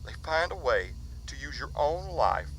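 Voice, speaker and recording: Man doing a haunted father's goodbye message, high voice, slow and halting, bright tone. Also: very animated, a little hiss or hum, exaggerated voice, low-quality recording. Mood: anxious, somber. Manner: halting, confessional, hushed at times. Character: grieving father leaving a farewell recording. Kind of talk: audio drama.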